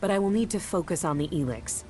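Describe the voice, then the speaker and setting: Casting: woman playing intense mystic game heroine, earnest, searching, lowish voice, faint score underneath. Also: expressive, fast, warm tone, soft background music, close to an everyday voice. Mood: strained, troubled. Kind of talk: video game cutscene acting.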